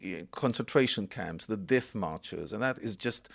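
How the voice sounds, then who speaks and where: A man in his sixties from Israel, a podcast guest telling his family history in an unhurried way with many pauses. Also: on the low side, animated, vocal fry. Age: 60s. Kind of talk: casual interview chat.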